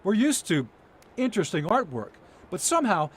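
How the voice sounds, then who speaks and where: A man's voice: high voice, very animated, moderate pace, husky. A man narrating a scripted TV news feature as its reporter, telling it in a playful, dramatic way.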